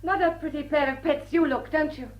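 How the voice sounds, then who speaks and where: An actress playing a witty society woman with a secret criminal side in a period radio drama, arch, teasing and composed, with a husky voice. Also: high-pitched, steady tone, a little fast, dull audio, close to an everyday voice.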